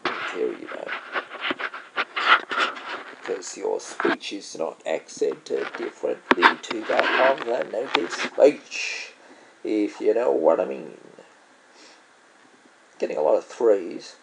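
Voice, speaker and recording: Slow, gravelly Australian man. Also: on the low side, big pitch swings, heavy background noise. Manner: musing aloud, trailing off and correcting himself. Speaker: vlogger playing online poker. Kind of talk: casual commentary over gameplay.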